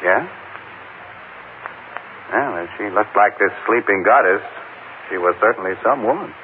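An actor playing a breezy, inquisitive crime photographer, talking in casual slang in an old-time radio drama. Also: male voice, fairly low pitch, measured pace, close to an everyday voice. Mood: curious, casual. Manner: casual, slangy, hesitant probing. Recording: tinny, noisy old radio broadcast.

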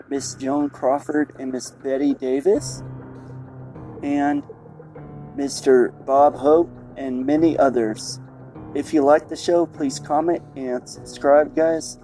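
Slow, flat, mellow male podcast host. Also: medium pitch, poor audio. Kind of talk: scripted podcast outro. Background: guitar music.

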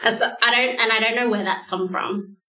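A woman's voice: a little high, steady tone, moderate pace, pushed and bright. Chatty woman in an echoey room. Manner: chatty and reflective, self-mocking. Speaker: podcast host. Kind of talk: casual chat.

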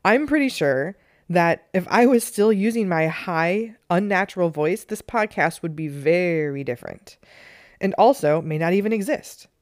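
A woman hosting her own meditation podcast, speaking in a low, soothing tone. She talks in a gentle, earnest way. The close mic picks up no room sound at all. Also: animated, normal speed, no echo. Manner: gentle and earnest, with light laughs. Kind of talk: reflective solo podcast talk.

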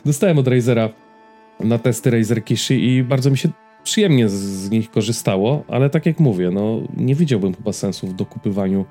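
A man's voice: mid-range voice, expressive, a little fast, mellow, soft background music. A man co-hosting a podcast, chatting casually about tech and games. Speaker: podcast co-host. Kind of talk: casual tech and gaming chat.

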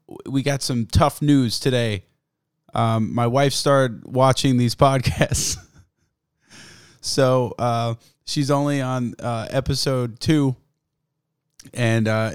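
A young man with a sick, fraying voice rambles through his podcast, cursing freely and laughing at himself. He sits close to the mic in a dead, dry room with no echo, and he talks at an everyday pitch. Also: clean tone. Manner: profane, rambling, self-mocking. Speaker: podcast host. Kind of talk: casual solo podcast chat. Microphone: studio mic, close.

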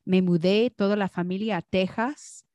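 Slow-talking woman co-hosting a podcast. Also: mid-range voice, no room sound. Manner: relaxed, mixes in English words, laughs often. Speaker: podcast co-host. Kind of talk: casual chat.

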